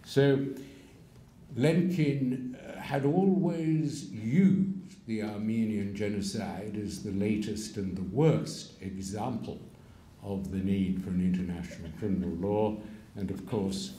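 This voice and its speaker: An older man giving a keynote lecture from a lectern, measured and pausing, with wry asides. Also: fairly low pitch, dark tone, a little hiss or hum. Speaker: keynote speaker. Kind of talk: public lecture. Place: stage of a large hall. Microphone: stand mic at a lectern. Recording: echoey hall sound.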